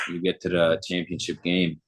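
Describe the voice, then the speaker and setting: A young man in his twenties from Germany, answering interview questions in a relaxed, upbeat way with frequent filler words. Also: low voice, moderate pace. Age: 20s. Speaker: guest, football player.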